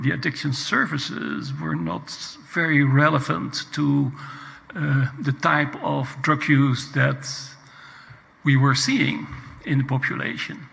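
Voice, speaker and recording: A man speaks very slowly at a floor microphone in a large conference hall. His voice is deeply gravelly with a bright, tense tone, and he pauses often as he talks. A long reverb trails behind his words. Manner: deliberate, halting speech with pauses. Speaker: audience member at a conference. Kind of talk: comment from the floor.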